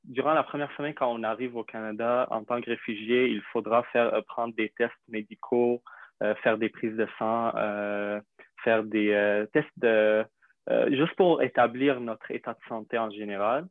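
Man, young adult, native arabic speaker, from syria, halting with fillers, tinny dry phone-like sound. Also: mid-range voice, fairly even intonation, dry recording. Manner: halting, many fillers and restarts. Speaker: interview guest. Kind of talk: hesitant conversational interview.

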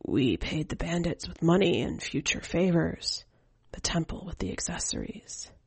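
A woman voicing a dazed, lustful old man under a spell in an audio story, halting and breathy. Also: low voice, big pitch swings, dry recording, exaggerated voice. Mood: dreamy.

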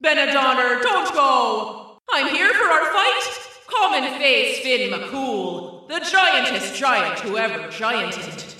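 A woman's voice: very animated, slow, bright, forceful tone, heavy reverb, far from the mic, heavily acted, low-quality recording. A woman playing a bellowing giant hero. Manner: bellowing, booming challenge. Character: huge bellowing male Irish giant hero.

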